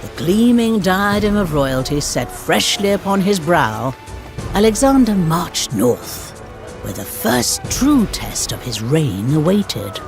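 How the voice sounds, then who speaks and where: A woman narrating history for a game cutscene in a theatrical, measured storytelling manner. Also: fairly low pitch, very animated. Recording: music behind the narration.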